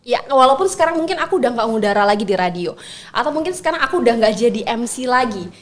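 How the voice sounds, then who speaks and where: A young adult woman chatting casually as an interview guest, expressive and quick to laugh. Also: on the high side. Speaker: podcast guest, MC and public speaking trainer.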